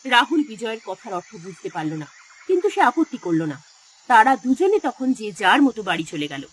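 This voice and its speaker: A woman's voice: a little high, sing-song, moderate pace, husky. A woman narrator reading a scripted horror story in a dramatic style.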